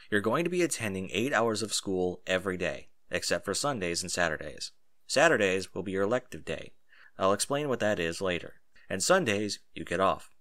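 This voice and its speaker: A man voicing a serious but fatherly military captain, speaking in a measured, instructive way for a story. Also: sits low, a bit bright, dry recording, clean studio sound, pitched below the performer's own voice.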